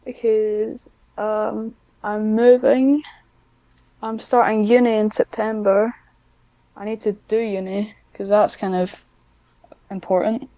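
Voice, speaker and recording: Soft-toned young woman, close dry sound. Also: mid-range voice, level tone, takes it slowly, dry recording, highs cut off. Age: young adult. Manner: self-deprecating, dryly joking. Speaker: podcast guest, script writer. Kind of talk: casual interview chat.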